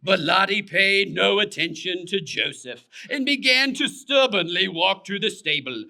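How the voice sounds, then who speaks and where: Male puppet-show narrator: strikingly bright, high voice, expressive storybook delivery. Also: a little slow, vocal fry. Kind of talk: scripted children's storytelling.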